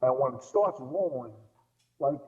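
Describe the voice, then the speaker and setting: Middle aged guy chatting movies on a podcast, blunt n emphatic, warm dark voice, bit muffled. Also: mid-range voice, animated, unhurried. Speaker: podcast guest co-commentator. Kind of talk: casual film discussion.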